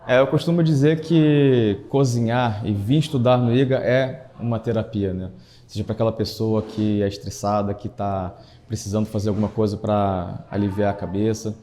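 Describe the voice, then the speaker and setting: A man's voice: lowish voice, fairly even intonation, normal speed, mellow. A man with a Brazilian accent, a chef instructor giving a reflective, emphatic testimonial for a documentary in short built-up phrases.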